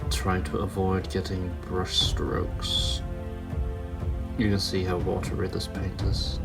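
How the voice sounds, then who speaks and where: A man's voice: low voice, vocal fry. A man giving casual hands-on hobby commentary, thinking aloud in a hesitant way as he works. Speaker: toy customizer hosting a hobby video. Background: background music.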